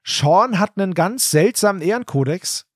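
A man's voice: high-pitched, animated, normal speed, dry recording, clean studio sound. A man co-hosting a podcast, casually discussing an audio drama in an emphatic, opinionated, colloquial way. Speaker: podcast co-host.

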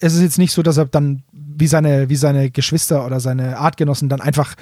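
A man's voice: on the high side, little pitch movement, a little fast, dark tone, no echo, clean studio sound. A man co-hosting a podcast, retelling a story in casual, colloquial phrases and correcting himself as he goes. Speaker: podcast co-host.

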